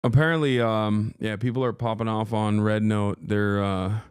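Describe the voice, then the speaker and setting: A man's voice: lowish voice, fairly even intonation, moderate pace, darkish tone, smooth, dry recording, studio quality. A man from Turkey, a native Turkish speaker, hosting a casual live-stream reaction and bluntly teasing his viewers. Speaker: streamer host.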